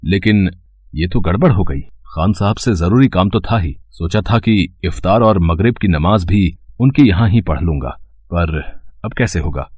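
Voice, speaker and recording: A man voicing a courteous, mildly troubled traveller in a cartoon story, with a husky voice and measured manner. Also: low-pitched, expressive, darkish tone, no room sound, full character voice. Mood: troubled, polite. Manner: polite, measured. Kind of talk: cartoon moral story acting.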